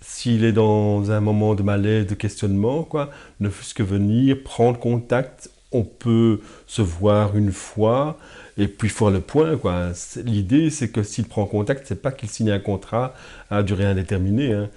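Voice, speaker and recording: A man's voice: fairly low pitch, measured pace, dark tone. A man giving a casual interview answer as a youth support worker.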